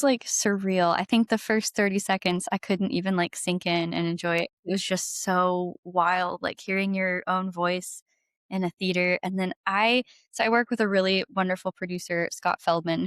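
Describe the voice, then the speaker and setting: A woman's voice: lowish voice, steady tone, moderate pace, no echo, studio quality. A young adult woman chatting casually as an interview guest, candid and gushing in an informal way. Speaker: guest, singer-songwriter. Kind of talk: casual chat, storytelling.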